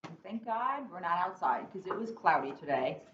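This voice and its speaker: A woman's voice: lowish voice, lively intonation, moderate pace, fairly bright tone. A middle-aged woman chatting casually and jokily as she co-hosts a storytime. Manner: jokey, giggly. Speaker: police officer co-hosting a storytime. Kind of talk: casual chat. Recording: echoey room, off-mic, dull, faint noise.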